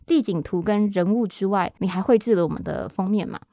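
A woman's voice: medium pitch, fairly quick, creaky, warm tone, no room sound. A woman podcast host with a Taiwanese accent speaks in a very dry, close recording. She talks conversationally, with an earnest and reflective manner. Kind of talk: conversational interview.

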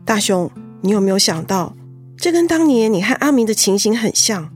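An actress playing a reasonable middle-aged cousin, a gently persuading peacemaker, in a radio drama. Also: female voice, mid-range voice, sing-song, normal speed, soft background music, lightly acted. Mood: earnest persuading.

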